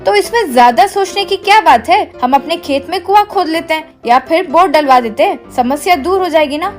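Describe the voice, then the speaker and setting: A woman playing a supportive, practical, reassuring young wife in an animated comedy story. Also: very high voice, a little fast, faint music behind.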